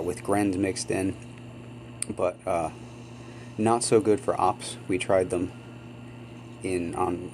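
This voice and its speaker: Deep-voiced guy doing casual game commentary, sniffly cold, lots of ums, humming mic. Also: a little mellow, slight background noise. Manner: rambling, apologetic, with frequent ums. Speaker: gaming channel host.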